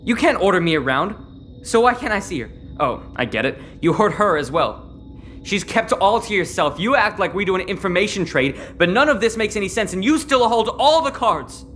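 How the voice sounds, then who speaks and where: A man's voice: sits high, very animated, moderate pace, fairly bright tone, faint music behind. A man playing a frustrated, defiant teenage boy in a sci-fi audio drama, argumentative and sarcastic. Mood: angry, frustrated.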